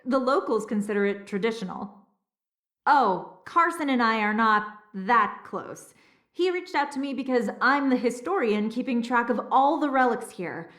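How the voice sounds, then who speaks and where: A woman's voice: a little high, expressive, normal speed, fairly bright tone, reverberant. An actress playing a scholarly, slightly flustered female castle historian in a video game, speaking earnestly and precisely. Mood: chatty. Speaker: historian and relic keeper. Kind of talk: video game dialogue, expository.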